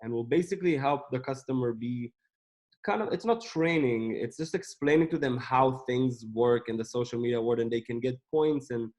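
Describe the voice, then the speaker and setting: A man, a company co-founder, explaining his business in a relaxed, casual interview. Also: medium pitch, moderate pace.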